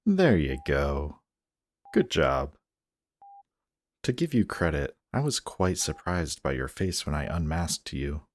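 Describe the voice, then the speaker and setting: A man's voice: very deep voice, sing-song, takes it slowly, pauses often, heavily acted. A man playing a smug, guarded supervillain in an audio roleplay, teasing and commanding, grudgingly protective of the person he is addressing. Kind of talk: scripted roleplay audio drama addressed to the listener.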